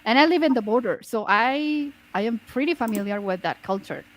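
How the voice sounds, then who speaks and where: A woman with a fairly high voice lays out her view in a relaxed chat. She explains step by step and keeps softening her points with little hedges. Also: a little fast, poor audio. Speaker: podcast guest. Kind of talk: casual pop-culture chat.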